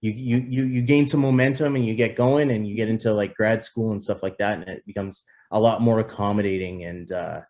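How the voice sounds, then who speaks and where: Relaxed man in his thirties. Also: fairly low pitch, a little fast, few pauses. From Toronto area, Canada. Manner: relaxed, matter-of-fact, hesitant restarts. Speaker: guest, business founder. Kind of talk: casual interview.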